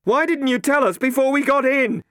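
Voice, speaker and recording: A desperate young man yelling to make himself heard, his voice strained and raised well above a normal speaking pitch, as a narrator plays him. The sound is dry and close. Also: sing-song, moderate pace, no echo. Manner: shouting over wind.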